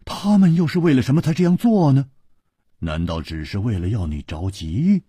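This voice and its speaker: Storybook wuxia hero played by a male reader: loud, hearty, teasing, voice jumping high and swooping. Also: sing-song, moderate pace, slightly rough, dry recording. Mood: amused, curious. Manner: exclaiming, stammering through laughter. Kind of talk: Chinese wuxia storybook character voice.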